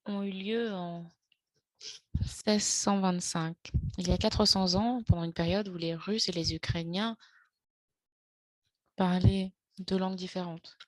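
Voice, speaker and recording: Low-voiced woman giving a halting webinar talk. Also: fairly even intonation, a little mellow, no room sound. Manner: halting, with frequent fillers and self-corrections. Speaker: webinar presenter. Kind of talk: spoken lecture in a webinar. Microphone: video call.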